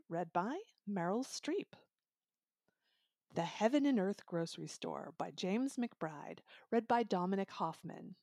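A woman's voice: mid-range voice, vocal fry, a little mellow, no echo, clean studio sound. A woman hosting an interview show, speaking in a polished but conversational style. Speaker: podcast host, magazine publisher. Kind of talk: hosted audiobook interview, polished intro and chat.

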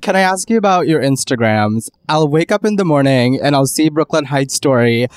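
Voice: medium pitch, animated, moderate pace, no echo, clean studio sound. A podcast co-host with a Canadian accent, asking curious questions in a chatty, hesitant way. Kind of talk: casual podcast interview.

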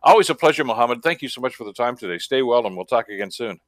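A man's voice: medium pitch, lively intonation, fast talker, fairly bright tone, no room sound, studio quality. A man with a Canadian accent hosting a radio talk show, interviewing in a conversational, wordy and rambling way.

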